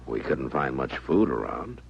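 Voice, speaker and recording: An actor with an American accent playing a grave, steady frontier marshal in an old-time radio western. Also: male voice, very deep voice, measured pace, vocal fry, slightly rough, exaggerated voice. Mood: calm, concerned. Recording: muffled, noisy old radio recording.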